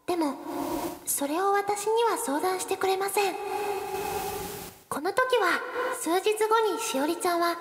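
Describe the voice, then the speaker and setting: Very high-pitched earnest schoolgirl, played by a woman. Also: expressive, measured pace, pauses often, slight background noise. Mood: wistful narration. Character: earnest, put-upon high school girl, club captain, caring friend. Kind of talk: radio drama dialogue and narration. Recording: roomy echo, loud music behind.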